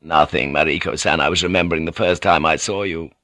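A man's voice: very deep voice, steady tone, fairly quick, pushed and bright, dry recording, clean studio sound, voice pushed down. A man voicing an old warlord who talks bluntly, testily and wearily. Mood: gruff. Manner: blunt, testy, weary. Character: old male warlord, shrewd, gruff and testy. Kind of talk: audiobook character voice.

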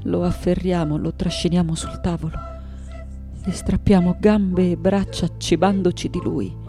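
A woman's voice: lowish voice, steady tone, unhurried, soft background music. An actress playing an elderly, defiant, vengeful woman sculptor. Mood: grim, haunted. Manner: intense, theatrical, swinging from hushed to loud. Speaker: actress in a radio play. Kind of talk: dramatic radio theatre monologue.

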